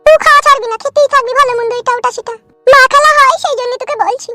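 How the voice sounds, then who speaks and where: A man voicing a bossy, nosy older village aunt in a comic cartoon, with a rural West Bengal accent, a pitched-up voice and a preachy, earthy manner. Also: fairly even intonation, very fast. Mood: chatty, confiding. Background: music.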